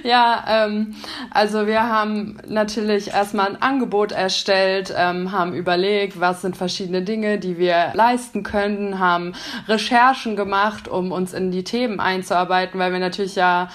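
A woman's voice: medium pitch, level tone, moderate pace. A woman in her thirties, a business psychologist and coach, talking enthusiastically as a podcast guest. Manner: enthusiastic, fluent, frequent fillers. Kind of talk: casual podcast interview.

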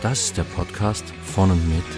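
A man's voice: low-pitched, fairly even intonation, normal speed. A man announcing a podcast intro in a scripted jingle style. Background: loud guitar music.

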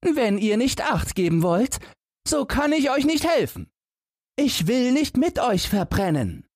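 A man's voice: extremely high pitch, huge pitch swings, a little slow, a little mellow, dry recording, studio quality, voice pushed up, heavily acted. A man voicing a naive, simple young lad in a fairy tale, with a guileless, wistful way of talking. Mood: scolding.

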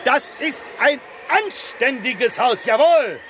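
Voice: high-pitched, lively intonation, slow pace, fairly bright tone, a little hiss or hum, heavily acted. An actor playing a stuffy, indignant neighbour in a children's radio play, pompous and scolding. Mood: outraged. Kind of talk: exaggerated German radio play acting.

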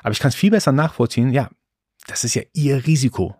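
A man's voice: mid-range voice, animated, quick pace, a little mellow, slightly rough, no room sound, studio quality. A man born in Iran, hosting a solo podcast in a casual, rambling monologue with frequent restarts. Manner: chatty, rambling with restarts and fillers. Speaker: podcast host.

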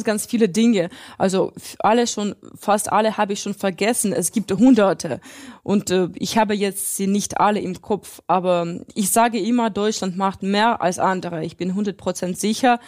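A woman's voice: medium pitch, darkish tone. Earnest woman. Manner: hesitant, many fillers, earnest. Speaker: interviewee, interpreter. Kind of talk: casual interview answers.

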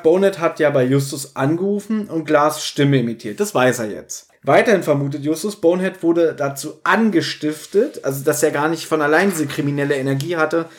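A male podcast host with a fairly high voice talks casually. He recaps a story step by step and acts out bits of dialogue as he goes. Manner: retells dialogue in acted-out quotes.

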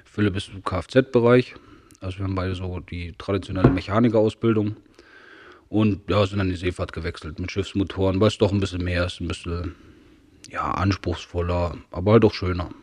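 A man's voice: deep voice, fairly even intonation, brisk, a little mellow, slight background noise. A man from Saxony in his forties, guest on a casual podcast, telling stories in an easygoing way. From Saxony, Germany. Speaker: company owner, guest.

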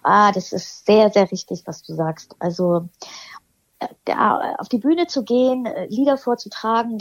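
A woman from eastern Germany, a singer as interview guest, talking thoughtfully with many pauses and restarts. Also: mid-range voice, no echo, muffled recording. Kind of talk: casual interview chat.

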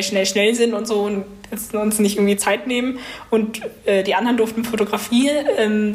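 A woman in her twenties giving casual, chatty answers as a student being interviewed. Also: medium pitch. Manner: chatty, informal.

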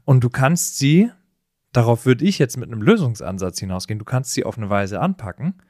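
A man speaks as a podcast host in a casual coaching style. His pitch is lively and varied, and the recording is dry and close. He gets straight to the point. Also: a little fast, dry recording. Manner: coaching, direct, often laughing. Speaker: podcast host giving advice. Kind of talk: casual advice talk.

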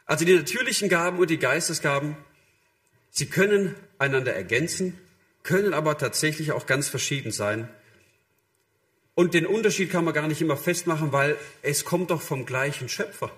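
Man, preacher, slightly high voice, conversational sermon, direct address, illustrative quoted asides. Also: normal speed, fairly bright tone. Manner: direct address to listeners, acting out short imagined quotes.

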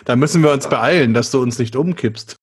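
A man's voice: mid-range voice, fairly quick, husky. A man hosting a beer podcast, leading the conversation with friendly questions. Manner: friendly questioning, leads the talk. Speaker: podcast host. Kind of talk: casual interview chat.

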